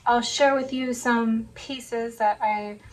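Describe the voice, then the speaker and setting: A woman with a hoarse voice, still recovering from laryngitis, rambles softly through a casual sewing vlog, padding her thoughts with "like" and "um". Her voice sits a little higher than usual and moves in a narrow, even band. She is recorded on a phone in her home sewing room and sounds somewhat far from it. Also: takes it slowly, a little hiss or hum. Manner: soft-spoken, rambling, many "like" and "um" fillers. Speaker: sewing vlogger. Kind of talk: casual chat to camera. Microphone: phone camera.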